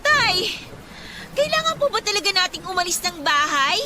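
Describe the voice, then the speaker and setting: Anxious whiny teen daughter, radio drama, super high voice, noisy background. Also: female voice, very animated, moderate pace. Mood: distressed, protesting. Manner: plaintive, whining complaints. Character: anxious, protesting teenage daughter in a flood. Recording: storm-like noise behind the voice.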